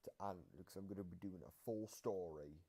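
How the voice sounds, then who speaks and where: A man's voice: deep voice, fast talker, vocal fry, dark tone. A man hosting a casual gaming analysis video, explaining things in a rambling way. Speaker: video host. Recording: noisy home microphone recording.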